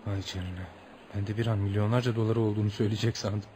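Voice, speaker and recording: Actor as quick-talking, curious American gentleman traveller. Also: fairly low pitch, steady tone, a little hiss or hum, close to an everyday voice. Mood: musing aside. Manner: theatrical, musing, with asides to himself. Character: polite American male traveller, curious, amused, humouring his host. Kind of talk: radio theatre acting.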